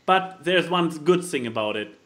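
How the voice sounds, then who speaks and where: Male podcast co-host, fairly high voice, very lively intonation, casual, emphatic, repeats words for effect. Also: moderate pace. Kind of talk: casual chat.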